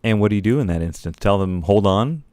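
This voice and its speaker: A male podcast host with a fairly low voice sets up interview questions conversationally. His phrasing is articulate and measured. The sound is close and dry, with very little room in it. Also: lively intonation, mellow, smooth, no echo.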